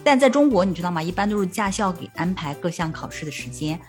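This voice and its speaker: A woman's voice: fairly low pitch, animated, fast, faint music behind. A woman co-hosting a language-learning podcast, chatting in Mandarin and English and explaining English terms to listeners. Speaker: podcast co-host.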